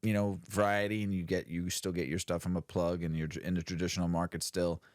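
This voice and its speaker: A man's voice: low voice, level tone, fairly quick, dry recording, clean studio sound. A man co-hosting a casual podcast, talking in a laid-back, rambling way and laughing often. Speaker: podcast co-host. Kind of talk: casual stoner podcast chat.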